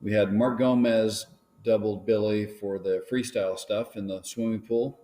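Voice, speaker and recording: A man talking casually on a live stream about making his films, rambling with many pauses and restarts. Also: on the low side, fairly even intonation, dark tone. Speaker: filmmaker guest.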